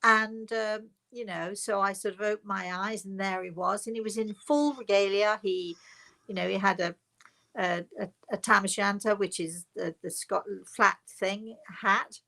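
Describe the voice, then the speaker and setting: A woman's voice: medium pitch, fairly even intonation, normal speed, pushed and bright. A woman telling a personal story informally to an online audience, conversational and emphatic, stretching and repeating words for emphasis. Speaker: spiritual medium telling her story.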